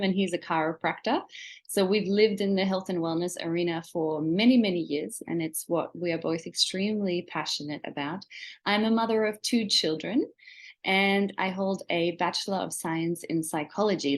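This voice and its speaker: A woman from Germany, a native German speaker with a slight Australian accent, presenting a webinar in an encouraging, explanatory way and addressing the listener directly. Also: medium pitch. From Germany, born in Austria. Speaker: webinar presenter, wellness seller.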